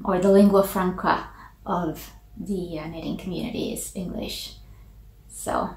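Slow, easygoing Finland-Swedish woman. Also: lowish voice. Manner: relaxed, thoughtful, with many ums and pauses. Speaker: knitting podcast host. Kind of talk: casual chat to camera. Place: small home office. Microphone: camera at desk distance. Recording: echoey small room.

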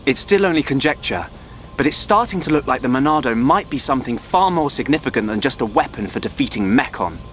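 An actor playing an earnest, thoughtful teenage researcher in a video game cutscene. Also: male voice, mid-range voice, animated, fairly quick, a bit bright, slight background noise, lightly acted.

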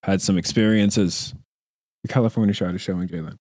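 A man's voice: sits low, steady tone, fairly quick, a little mellow, no echo, studio quality. Teasing young man streaming reaction jokes. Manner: joking, teasing, mock-apologetic asides. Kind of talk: casual reaction commentary. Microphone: desk mic on a boom arm with headset.

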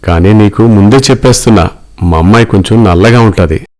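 A man voicing a wealthy middle-aged businessman father in a cartoon story, measured, earnest and paternal. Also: fairly low pitch, darkish tone, close to an everyday voice. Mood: frank, assured.

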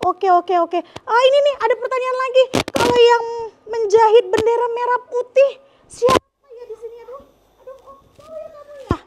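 A woman's voice: very high voice, normal speed, noisy background. A young woman hosting a children's show, chatting playfully in exaggerated, wondering tones as she addresses the children directly. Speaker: children's show host and storyteller. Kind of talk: playful storytelling chat with children. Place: TV studio stage with children seated around. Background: music.

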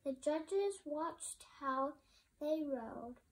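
Young girl reading haltingly, very slow, high voice. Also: lively intonation, background noise. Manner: halting, sounding out and restarting words. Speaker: reader of a children's storybook. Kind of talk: halting read-aloud of a picture book.

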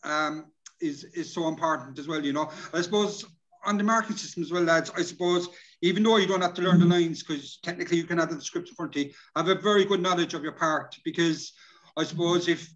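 A man's voice: on the high side, steady tone, brisk, fairly bright tone, muffled recording. A middle-aged man with an Irish accent presents a workshop informally and colloquially over a video call, repeating himself. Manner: colloquial, repetitive, addresses listeners as lads. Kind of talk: informal presentation, partly read from slides.

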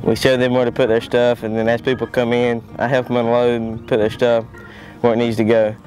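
A young man with a Southern American accent talks in an interview in a mid-pitched, very even voice. He is miked up close outdoors, with faint guitar music behind. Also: a little fast, low-quality recording. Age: teens to young adult. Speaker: family auction worker. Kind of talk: documentary interview. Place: outdoors by a road. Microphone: lapel.

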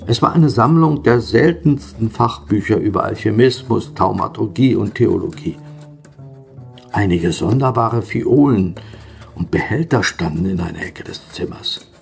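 A man acting a learned, curious but wary gentleman in a German horror radio drama, speaking in measured, formal, old-fashioned diction. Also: fairly low pitch, expressive, vocal fry, faint music behind. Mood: grave narration.

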